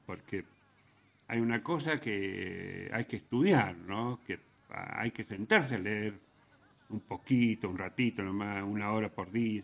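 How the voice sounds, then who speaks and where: An Argentine man hosting radio with an informal, opinionated monologue, speaking in a vehement, slangy, irreverent way with halting repetitions and tags. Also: on the low side, vocal fry. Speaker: radio host.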